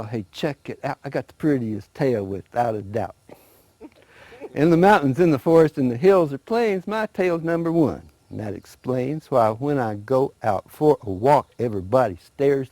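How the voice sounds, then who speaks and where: Man voicing a vain, animated, boastful possum. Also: low voice, husky, no room sound, full character voice. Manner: bragging, sing-song rhyming, excitable. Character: vain, boastful possum showing off his tail. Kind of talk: animated oral folktale telling.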